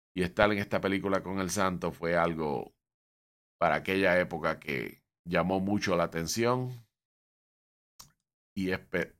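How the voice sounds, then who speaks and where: A man's voice: low voice, fairly bright tone. A man hosting a show, talking unscripted about film and wrestling history, rambling with frequent restarts and an emphatic, opinionated delivery.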